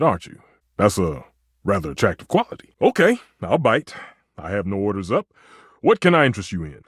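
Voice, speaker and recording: A man's voice: fairly low pitch, husky, no echo, clean studio sound, exaggerated voice. A man voicing a passionate, temperamental head chef in a video game, theatrical and emphatic in delivery. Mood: flirtatious. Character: temperamental, passionate male chef, a living kitchen stove. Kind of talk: video game character acting.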